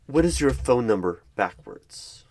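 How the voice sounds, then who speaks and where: A man in an ASMR roleplay as a hiring manager, asking interview questions in a measured, deadpan manner. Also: mid-range voice. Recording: clear background noise with keyboard typing. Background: computer keyboard typing and clicks.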